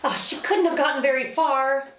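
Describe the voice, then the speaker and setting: A woman's voice: high-pitched, steady tone, normal speed, fairly bright tone, a little hiss or hum. A woman playing a bossy, exasperated housemate who blames others, at a table read in an echoey room. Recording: echoey room, distant mic.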